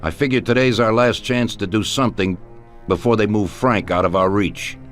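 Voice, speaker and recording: Middle-aged crime boss, played by a male actor: low voice, deliberate and commanding, game drama with music underneath. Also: lightly acted. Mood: cold, commanding. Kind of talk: dramatic video game cutscene acting. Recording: music behind the voice.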